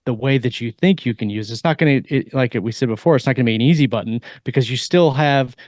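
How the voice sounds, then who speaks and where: A man speaking as a podcast co-host in a casual discussion, with halting, searching phrasing. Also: medium pitch, a little mellow, no echo, clean studio sound. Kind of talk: casual discussion of military technology.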